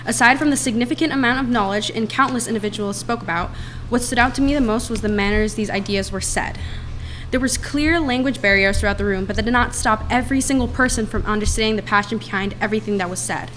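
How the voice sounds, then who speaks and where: A woman's voice: on the high side, fairly bright tone, a little hiss or hum. A young woman, a student, reading a prepared report aloud to a town council in earnest. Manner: earnest, reads quickly from notes. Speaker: student speaker.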